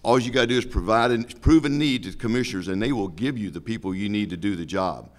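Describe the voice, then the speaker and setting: A man's voice: fairly low pitch, fast. A man speaking as a law officer and candidate at a public forum, making his case in an emphatic, plain-spoken way.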